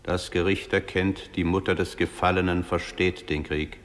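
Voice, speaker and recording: Low male voice, grave judge of the dead, acted by a man, flat intonation, measured, theatrical radio play. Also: close to an everyday voice. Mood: solemn. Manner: solemn, measured, declamatory. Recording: long hall-like reverb.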